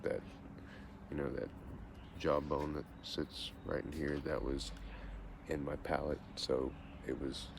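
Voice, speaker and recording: Man, 40s, deep voice. Reads questions and answers them matter-of-factly. Casual self-interview, noisy recording. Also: little pitch movement, slow pace, vocal fry, pauses often. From Texas, USA. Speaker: vlogger answering a question tag.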